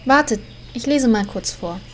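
A woman's voice: a little high, lively intonation, moderate pace, a bit bright, slight background noise. A woman playing a confident, bossy young elf mage in a comedic audio drama. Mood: self-assured. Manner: self-assured, haughty.

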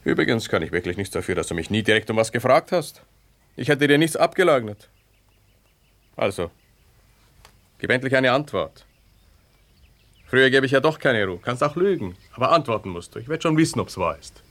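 A man's voice: medium pitch, lively intonation, brisk, pauses often, a bit bright, natural voice. An Austrian man playing a jealous, sardonic husband in a conversational 1970s radio drama style, probing and ironic. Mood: bitter, insistent. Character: jealous husband, sardonic, smooth-talking, needling his wife.

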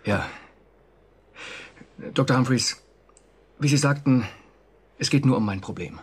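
An actor playing a conscientious, uneasy government official in a radio drama, speaking formally and hesitantly with a husky voice. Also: male voice, medium pitch, a little slow. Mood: uneasy, confiding. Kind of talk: German radio drama acting.

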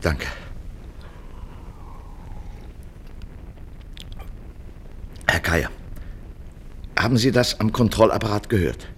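Flustered officious radio boss, formal, voice jumps all over, old radio drama with a bit of rumbly noise. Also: male voice, animated, moderate pace, fairly bright tone. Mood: shaken. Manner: formal, agitated, exasperated. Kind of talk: old German radio play acting.

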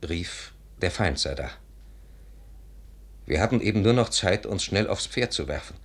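An actor playing a grave, courteous army officer in a 1950s German radio drama, measured and solemn. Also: male voice, low-pitched.

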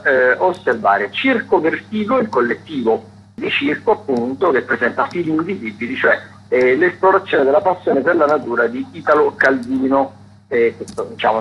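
A man of middling pitch gives a loose, unscripted listings round-up for radio. He hesitates and digresses, and the sound is somewhat dull with a faint hum. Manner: hesitant, digressive, frequent self-corrections. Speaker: theatre critic, radio contributor.

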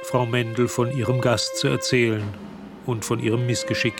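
A man's voice: medium pitch, flat delivery, normal speed, gravelly, loud music behind the voice, close to an everyday voice. A man narrating a radio drama as a composed storyteller, reading scripted prose. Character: composed storyteller narrating events in past tense.

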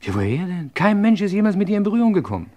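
A man's voice: high-pitched, very animated, racing, a little mellow. An actor playing a chummy, nervously loyal henchman in an old German crime radio play. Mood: protesting, uneasy. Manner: chummy, chuckling, protesting. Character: eager, ingratiating gangster henchman, nervously loyal to his boss.

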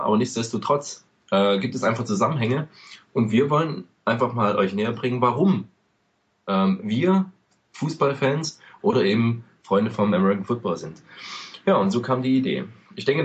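A man from eastern Germany co-hosting a casual podcast, explaining at length with many fillers. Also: mid-range voice. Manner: chatty, many "ähm" fillers, loose rambling explanations. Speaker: podcast co-host. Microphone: home microphone over a call.

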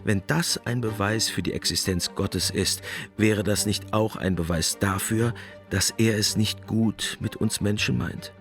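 A man narrating a documentary radio drama in a measured, reflective way, posing rhetorical questions. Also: fairly low pitch. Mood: reflective, questioning. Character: reflective male narrator questioning God and human responsibility. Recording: music behind the voice.

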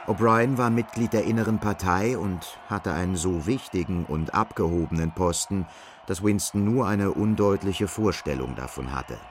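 An actor narrating a dystopian radio drama as a sober storyteller in a measured manner. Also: male voice, deep voice, background noise, natural voice. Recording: music behind the narration.

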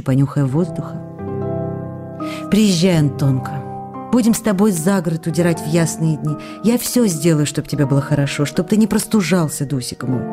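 A woman's voice: fairly low pitch, close to an everyday voice. An actress playing a tender, anxious young wife confiding intimately in letters to her husband in a radio play. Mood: loving, caring.

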